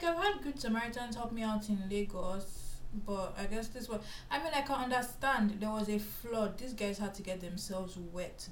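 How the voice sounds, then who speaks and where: A woman from Nigeria tells a story at an easy pace, chatty and animated, with plenty of "like" and "you know". Her voice sits mid-range and carries a noticeable roomy echo with a faint hiss behind it. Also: medium pitch. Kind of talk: casual storytelling chat.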